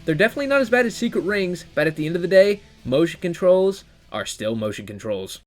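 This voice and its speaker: Casting: young male game reviewer, lightish pitch, very lively inflection, informal and playful, enthusiastic video essay delivery. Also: fast talker. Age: young adult. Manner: animated, informal, slangy, playful asides. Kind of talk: enthusiastic video essay commentary, casual and scripted. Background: background music.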